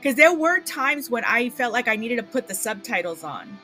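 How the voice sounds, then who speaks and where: A woman with a somewhat high voice talks in loose, easy chat, laughing often and drifting into fillers. A little music plays faintly behind her. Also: sing-song, moderate pace, bright, forceful tone. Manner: chatty, laughs easily, trails off with fillers. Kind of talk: casual chat about TV and film.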